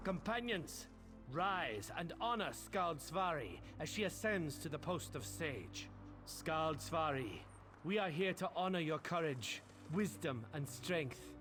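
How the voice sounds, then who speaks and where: A high-pitched solemn warrior leader. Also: male voice, lively intonation, measured pace. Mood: solemn, ceremonial. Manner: ceremonial, formal. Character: solemn male Nord leader conducting a warrior ceremony. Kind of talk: fantasy game voice acting. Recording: game music loud behind the voice.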